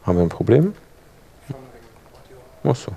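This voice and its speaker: A man presenting a workshop, explaining a software demonstration informally and talking himself through each step as he works. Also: lowish voice, big pitch swings, measured pace, vocal fry, husky. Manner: informal, talking through clicks while working. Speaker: workshop presenter, audio editor.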